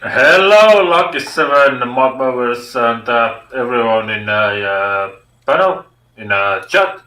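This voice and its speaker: Slow, halting Estonian-accented man. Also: medium pitch, lively intonation, bright, forceful tone. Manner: halting, searching for English words. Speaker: viewer joining the live chat. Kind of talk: casual chat. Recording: echoey and distant, off-mic.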